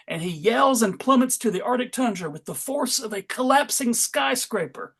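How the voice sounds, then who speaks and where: A comic book narrator reading scene descriptions aloud. The delivery is dramatic storytelling mixed with wry, informal asides. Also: mid-range voice.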